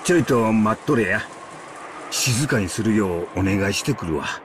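Genial Kansai uncle, swooping intonation. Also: male voice, fairly low pitch, expressive, fast, noisy background. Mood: considerate. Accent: Kansai Japanese. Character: genial, gentle middle-aged husband and uncle. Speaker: voice actor. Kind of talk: Japanese visual novel acting.

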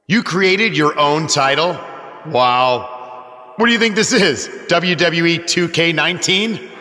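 A man's voice: fairly high pitch, expressive, takes it slowly, sharp tone, full character voice. A man playing an arrogant, indignant wrestling champion in a video game, sneering and theatrical in his promo. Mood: scornful, angry. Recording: echoing arena reverb.

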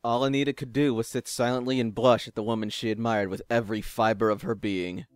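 A man narrating a scripted story in a measured, literary way. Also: medium pitch, fairly even intonation, clean tone, no echo. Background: music.

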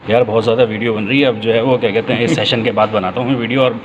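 A man's voice: medium pitch, fairly even intonation, very fast, dull audio, a little hiss or hum. A man in his thirties vlogging his first gym day, chatting and joking with his trainer, recorded on a hand-held phone in an indoor gym. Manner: chatty and joking, with many "theek hai" nods. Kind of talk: casual vlog chat. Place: indoor gym with hard walls. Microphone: phone camera held by hand. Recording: echoey gym room.

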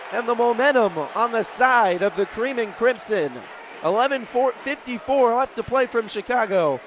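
High-pitched young male sports broadcaster. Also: a little hiss or hum. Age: 20s. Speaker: play-by-play sports broadcaster and reporter. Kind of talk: sports commentary and reporting.

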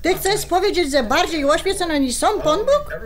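An actress playing a sharp-tongued, pious older mother-in-law with a Polish highlander accent in a radio drama. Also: lively intonation, normal speed, crowd noise. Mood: indignant, sarcastic. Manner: scolding, blunt.

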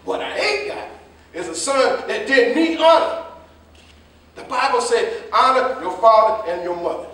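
A man's voice: extremely high pitch, sing-song, unhurried, fairly bright tone, full character voice. An American man acting a stern, proud older father, scolding and bitterly disappointed, in a staged play reading. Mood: proud, angry. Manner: scolding, reproachful. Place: indoor staged reading, recorded from afar. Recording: echoey stage, distant microphone.

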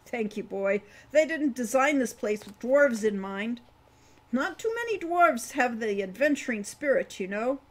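A man's voice: very high voice, big pitch swings, unhurried, heavily acted. A woman voicing a gloomy, folksy old male dwarf who rambles and grumbles, in a storybook reading. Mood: rambling, folksy.

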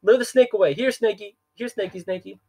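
A man reading an audiobook, voicing a panicky middle-aged banker who babbles frantically in a high-pitched voice. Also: a little fast, dark tone, husky. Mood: panicked babbling. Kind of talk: audiobook character voice.

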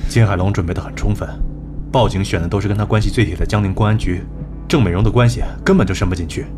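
A man voices a gruff, blunt executive who scolds and protects in the same breath. His voice sits low and commanding over a swell of drama music. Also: quick pace, lightly acted. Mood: suspicious. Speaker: company boss. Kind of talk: audio drama acting. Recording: studio voice with music bed.

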